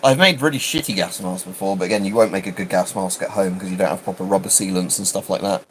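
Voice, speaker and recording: A man's voice: low-pitched, fast talker, a little hiss or hum. A British man chatting casually on a livestream, rambling and hesitant, often interrupting himself. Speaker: livestream host.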